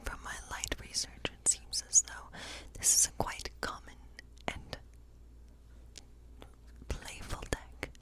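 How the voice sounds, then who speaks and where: Female ASMR performer as a friendly woodland witch: whispered throughout, breathy, whimsical, unhurried and musing. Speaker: ASMR performer playing a witch tarot reader. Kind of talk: whispered ASMR role play.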